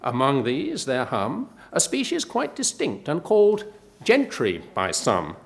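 Lively, wry professor talking books. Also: male voice, mid-range voice, gravelly. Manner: measured, wry and lively, with dry asides. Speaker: professor giving a book pitch. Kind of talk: prepared talk.

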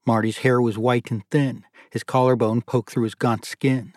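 A man narrates a literary novel in a scripted audiobook style. His voice has a middling pitch. The recording is dry, with no room sound. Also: flat delivery, moderate pace, a little mellow, no room sound.

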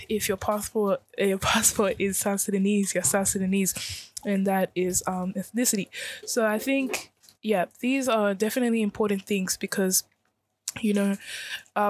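A woman's voice: medium pitch, no echo. Earnest teenage girl explaining her views. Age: teens. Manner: earnest, thoughtful, restarts phrases while explaining. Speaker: youth podcast co-host. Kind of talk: casual panel discussion.

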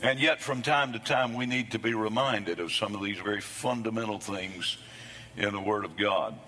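A man preaching a sermon, his voice hoarse from losing it between services, with a folksy, self-deprecating humor. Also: on the low side, normal speed, sharp tone, big-room sound. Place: church sanctuary.

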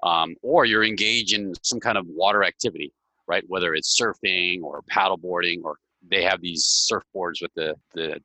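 A man's voice: deep voice, normal speed, bright, forceful tone, dry recording, poor audio. A middle-aged man from Hawaii, a guest chatting casually and telling stories, often tagging "right?" onto his sentences. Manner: storytelling, many "right?" tags. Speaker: podcast guest. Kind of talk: casual interview chat.

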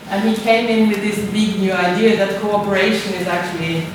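A woman's voice: medium pitch, fairly even intonation, fairly quick. A woman from Norway with a Norwegian accent, giving a conference presentation as a university lecturer, hesitant with many fillers and restarts. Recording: echoey room, distant mic, background noise.

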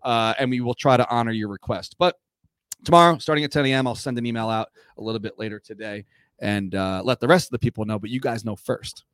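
A man co-hosting a casual sports fan show, chatty and rambling with lots of fillers, a little hoarse from a cough. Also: medium pitch, fast, fairly bright tone, no room sound. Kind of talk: casual chat.

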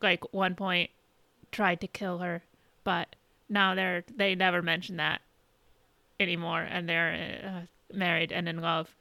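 A woman podcast co-host chatting casually in a halting, conversational way, recapping what she has been watching. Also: fairly low pitch, little pitch movement, bright, forceful tone, dry recording. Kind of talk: casual chat, recapping shows and films.

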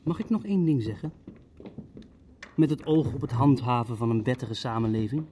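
An actor playing a methodical, legalistic Nazi official in a radio play, arguing through rhetorical questions. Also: male voice, medium pitch, moderate pace, mellow, slight background noise, close to an everyday voice. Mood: pressing, cautionary. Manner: argumentative, rhetorical. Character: methodical, legalistic male Nazi official raising practical objections. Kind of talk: Dutch radio play acting.

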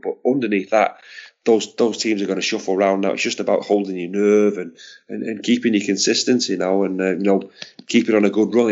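Hoarse guy on a podcast, lowish voice, kinda muffled sound, lots of stops and restarts. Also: darkish tone. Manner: halting, repeats words, chuckles. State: hoarse, losing his voice. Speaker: podcast co-host. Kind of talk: casual sports chat.

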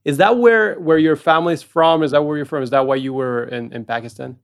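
A man's voice: a little high, gravelly. A man hosting a podcast, asking curious, friendly interview questions. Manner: friendly, curious, quick to laugh. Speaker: podcast host.

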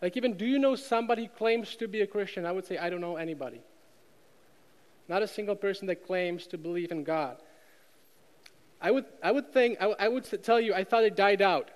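A man's voice: sits high, fairly quick. A man from the Czech Republic with a Czech accent, preaching a sermon with emphatic repetition and rhetorical questions. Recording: long hall reverb.

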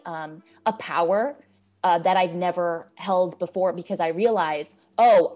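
A woman's voice: on the low side, a little mellow. A woman from New York talking in a casual podcast conversation as a guest, passionate and emphatic about self-growth. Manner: passionate, emphatic, spiritual self-help talk.

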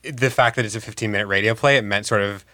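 A man's voice: on the low side, fast talker, bright, forceful tone, dry recording, studio quality. An enthusiastic, emphatic man giving conversational interview answers as a playwright.

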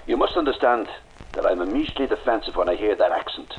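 A man voicing a condemned rebel who speaks in a guarded, clipped and bitter way, his pitch rising and falling widely. The sits in a low voice. It comes through a dull, lo-fi recording with faint hiss. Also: animated, fast, close to an everyday voice. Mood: defiant. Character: older Irish rebel leader awaiting execution, wary and defiant. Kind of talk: radio drama acting.

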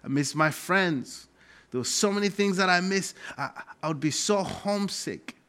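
A middle-aged man with a Malawian accent preaching a sermon as a pastor, emphatic and deliberately repeating key phrases. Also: high-pitched, animated, sharp tone.

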